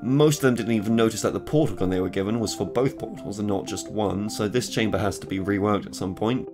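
A man's voice: on the low side, quick pace, music in the background. An eighteen-year-old man with a British accent, narrating his game-map devlog in a sarcastic, self-mocking way, filmed in a small bedroom with a desk mic. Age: late teens. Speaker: game mod creator. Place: small bedroom with purple light.

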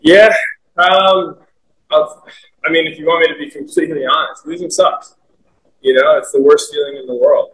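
A man's voice: medium pitch, rough recording. A young male soccer player answering press questions after a loss, upbeat and candid, with lots of "you know" fillers. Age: young adult. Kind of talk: post-match press conference answers. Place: team media room, indoors.

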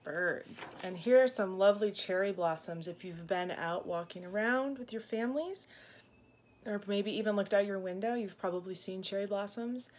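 A woman in her thirties reading a picture book aloud to young children, gently explaining and asking questions as a teacher does. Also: medium pitch, moderate pace. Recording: clear background room noise.

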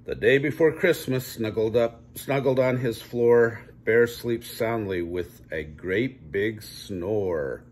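An older man reading a children's story aloud at home in measured, drawn-out storybook phrasing. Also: lowish voice, a little hiss or hum. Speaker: grandpa reading a picture book aloud. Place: small home room, indoors.

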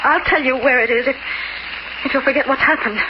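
Actress as high-voiced scheming girlfriend, old radio. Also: a little fast, natural voice. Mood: pleading, nervous. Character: scheming, nervous young woman, bank robber's duplicitous girlfriend. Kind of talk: 1940s-50s radio drama acting. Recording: noisy, dull old radio recording.

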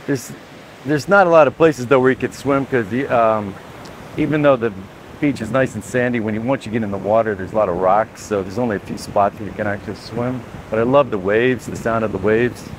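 A man's voice: fairly low pitch, a little fast, a little hiss or hum, low-quality recording. A man talking casually as he walks outdoors, filming a travel vlog with a handheld camera, rambling and correcting himself. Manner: rambling, relaxed, self-correcting. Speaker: travel vlogger. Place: outdoors by a beach and dirt roads. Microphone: handheld camera, filming first-person.

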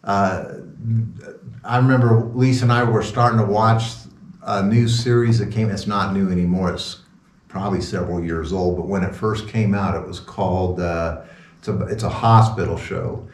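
A man's voice: sits low, fairly even intonation, normal speed, slight background noise. A man in his sixties, a pastor, giving an informal, rambling sermon talk with sarcastic asides. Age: 60s. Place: small wood-paneled room indoors. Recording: roomy echo, distant from the mic.

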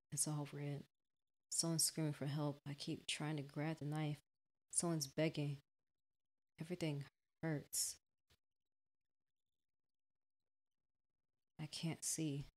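A woman voicing the terrified, guilt-ridden victim of a stalker, narrating a visual novel in a fearful, halting way. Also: low voice, little pitch movement. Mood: dazed agony.

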